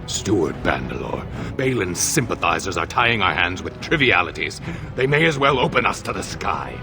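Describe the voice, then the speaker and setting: An actor voicing a haughty, belligerent dwarf lord, forceful and scornful, in a fantasy game. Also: male voice, sits low, sing-song, moderate pace, gravelly, music in the background, full character voice. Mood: indignant. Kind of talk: dramatic fantasy game acting.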